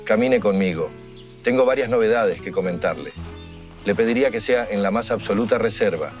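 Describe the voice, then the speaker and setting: An actor playing a weary, ailing yet resolute general and liberator in a historical audio drama, speaking in a solemn, formal way. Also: male voice, fairly low pitch, a little fast, faint music behind, lightly acted. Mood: weary, confidential.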